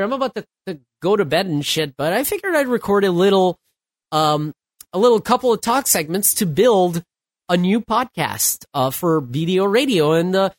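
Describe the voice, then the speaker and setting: A man's voice: high-pitched, dry recording, clean studio sound. Stuffed-up man rambling on his podcast. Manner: rambling, loose, with many restarts and fillers. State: stuffed up, getting over a cold. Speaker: podcast host. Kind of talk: solo late-night podcast talk.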